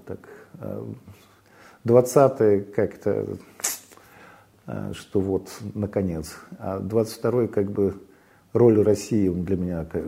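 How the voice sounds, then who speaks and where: Gravelly man, halting speech. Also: medium pitch, mellow. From Belarus. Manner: halting, full of fillers and restarts. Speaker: interview guest. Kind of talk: casual interview talk.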